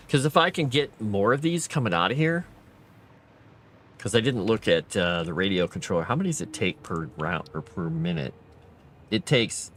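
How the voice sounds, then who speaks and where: A man's voice: on the low side, fairly bright tone, slight background noise. A tired man streaming a game late at night, thinking aloud and chatting with viewers. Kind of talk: casual gameplay live stream commentary.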